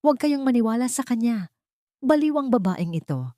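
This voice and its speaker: A woman's voice: a little high, very animated, a little fast, no room sound, studio quality, pitched above the performer's own voice. A woman voicing a desperate, scheming villainess in an audio love drama, pleading and accusing as she justifies herself. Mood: desperate, defiant. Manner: shouting accusations and self-justifications. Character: desperate, gaslighting villainess, bitter scheming mistress.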